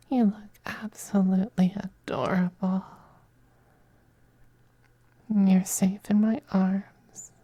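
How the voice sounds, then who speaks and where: Possessive femboy vampire noble played by an actor, ASMR intimacy: very mellow, slow, breathy whispers, tender yet domineering. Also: mid-range voice, dry recording. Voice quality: breathy, soft, often whispering.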